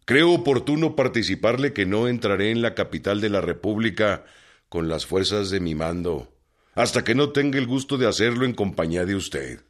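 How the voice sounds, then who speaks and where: A man playing a resolute revolutionary commander with a formal, measured delivery in a radio drama. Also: lowish voice, lively intonation, natural voice. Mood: solemn, fraternal. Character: Pancho Villa, resolute northern revolutionary commander.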